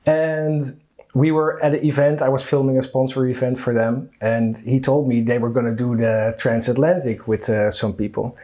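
A man's voice: medium pitch, level tone, normal speed, warm tone. A Dutch man in his thirties, a guest telling stories in a casual interview, talking loosely with lots of fillers and restarts, seated in a living room. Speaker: guest, onboard reporter. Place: living room at a dining table.

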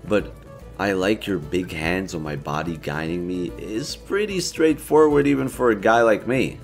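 A young man playing a playful, self-assured elven leader in a romantic audio roleplay, casual, teasing and expressive. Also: low voice, normal speed, vocal fry, faint music behind. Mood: warm, earnest. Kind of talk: intimate romantic roleplay acting, conversational.

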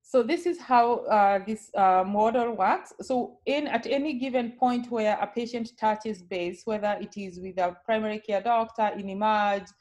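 Kenyan-accented woman, nurse educator explaining a care model to colleagues on a video call, mid-pitched, warm and patient. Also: moderate pace, hardly any pauses. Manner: friendly, explanatory, with frequent filler sounds. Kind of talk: webinar presentation.

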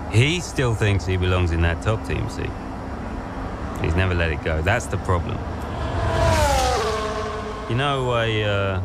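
A man's voice: low voice, expressive, takes it slowly, pauses often, very noisy, exaggerated voice. An actor playing a cocky, needling young British racing-team man in a video game cutscene. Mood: subdued, hesitant. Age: young adult.

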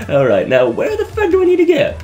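A young man with a Belgian accent, raspy from just waking up, giving rambling, joking commentary over a game he is playing and often stumbling over his words. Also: very high-pitched, darkish tone. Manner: rambling, stammering restarts, joking, laughs often. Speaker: let's play host. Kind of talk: casual gameplay commentary.

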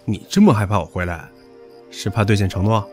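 An actor playing a hot-tempered, possessive young rich heir in an audio drama, brash and taunting. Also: male voice, fairly low pitch, fairly quick, a little mellow, soft background music, close to an everyday voice. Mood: needling. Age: young adult. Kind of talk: Chinese audio drama acting.

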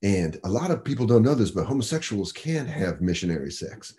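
A comedian riffs and laughs through crude podcast banter. His pitch swings widely as he gets animated, and he speaks into a studio mic over a video call. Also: a little fast. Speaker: comedian guest.

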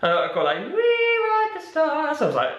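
A young man talking casually to a handheld camera, chatty and animated with lots of filler words. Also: very high voice, normal speed, roomy sound, dull audio. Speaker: vlogger and stage actor. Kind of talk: casual vlog chat. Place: hotel room and corridor indoors, garden outdoors. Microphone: camera held at arm's length.

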